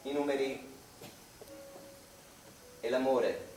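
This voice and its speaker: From a little far off in an echoey room, an actor plays a melancholy male mathematician. His theatrical monologue is slow, halting and wistful, like a confession, with faint music behind. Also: medium pitch, steady tone, dark tone, lightly acted. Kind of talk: dramatic stage monologue.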